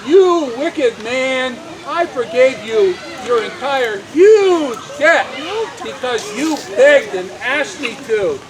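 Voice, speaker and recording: A performer voicing a stern, indignant master in a children's Bible puppet show, declaiming deliberately and emphatically, outdoors. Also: high-pitched, lively intonation, rough recording. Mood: stern, scolding. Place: outdoors at an open-air show. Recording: outdoor recording with crowd and traffic.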